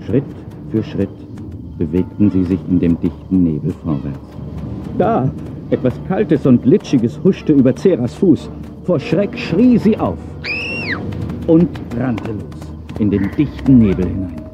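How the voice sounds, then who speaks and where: A man narrating a children's audio play as a dramatic, animated storyteller. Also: fairly low pitch, a little slow, music in the background. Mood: suspenseful. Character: animated male storyteller of a children's dinosaur tale. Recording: dull cassette-era audio play recording.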